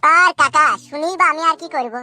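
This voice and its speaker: A man's voice: very high voice, normal speed, bright, forceful tone, no room sound, exaggerated voice. A man voicing a polite, aggrieved young village man in a comedy cartoon. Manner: deferential, explanatory.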